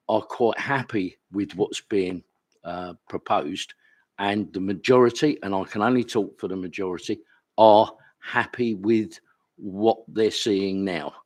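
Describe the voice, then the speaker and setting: Deep-voiced, loud British resident. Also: male voice. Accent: British English. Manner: plain-spoken, repeats words. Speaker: local resident speaking at a meeting.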